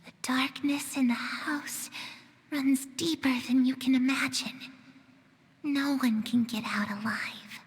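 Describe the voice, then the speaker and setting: A woman voicing a frail, wistful young girl in a video game, with an airy, halting delivery. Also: high voice, steady tone, husky, exaggerated voice. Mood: eerie warning. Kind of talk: game voice acting.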